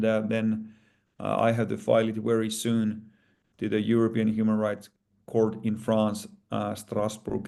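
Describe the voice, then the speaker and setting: Gravelly Finnish-accented man, flat and halting. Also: medium pitch. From Finland. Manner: halting, with many fillers and restarts. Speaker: plaintiff in a lawsuit, guest. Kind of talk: casual interview talk.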